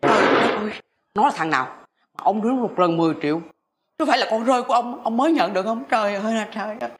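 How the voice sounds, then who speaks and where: Woman playing a nagging old Southern Vietnamese wife, rapid-fire. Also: a little high, close to an everyday voice. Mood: jealous scolding. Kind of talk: broad comedy sketch acting.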